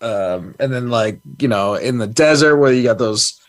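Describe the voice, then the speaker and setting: A man co-hosting a podcast, speaking in a laid-back, halting way with many ums and uhs. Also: medium pitch, lively intonation, no room sound. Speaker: co-host. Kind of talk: casual co-host chat.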